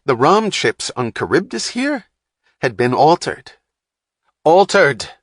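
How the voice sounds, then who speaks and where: A man's voice: medium pitch, moderate pace, fairly bright tone, dry recording, studio quality, lightly acted. A man voicing an irritable, profane male computer technician who snaps at everyone. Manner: snapping, abrasive, exasperated.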